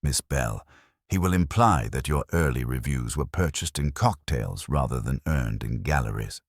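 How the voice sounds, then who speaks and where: A man's voice: extremely low pitch, animated, normal speed, slightly rough, dry recording, clean studio sound, exaggerated voice. A man voicing a shrewd, commanding master detective in an audiobook, speaking formally, precisely and with authority. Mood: stern, methodical.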